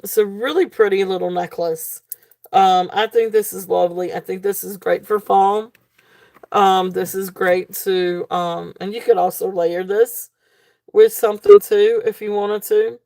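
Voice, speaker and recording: Woman, live sale host, mellow gravelly voice going hoarse, chatty with plenty of fillers. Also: mid-range voice. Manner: chatty, fillers, reads comments aloud. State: losing her voice. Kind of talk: live auction selling chat.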